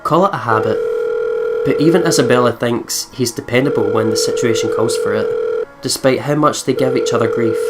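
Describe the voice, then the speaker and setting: Man reading game narration over loud noise. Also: medium pitch. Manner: reads first-person narration, laughs often. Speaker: let's play host. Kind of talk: reading a visual novel aloud.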